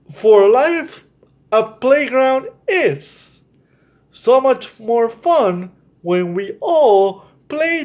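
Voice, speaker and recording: A man with a slightly high voice speaks very slowly, as if to young children. He hosts a story time, reading and explaining in an encouraging way and addressing his listeners directly. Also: darkish tone.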